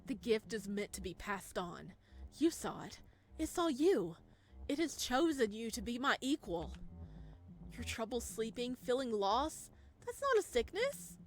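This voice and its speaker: A woman's voice: on the high side, big pitch swings, a little slow, voice pushed up. A woman voicing a fervent, coaxing young male cult ritualist in a visual novel, solemn and persuasive.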